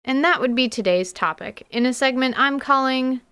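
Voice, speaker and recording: A woman's voice: high voice, normal speed, fairly bright tone, smooth. A woman hosting as a dungeon master, narrating a scripted podcast in an articulate, slightly wry way.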